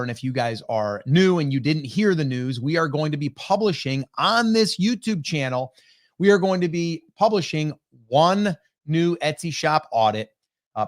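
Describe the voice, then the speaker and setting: A man in his forties hosting a casual livestream podcast, chatty and upbeat with loose fillers, his voice still slightly hoarse from a cold, speaking into a desk mic on a video call. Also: fairly high pitch. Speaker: podcast host.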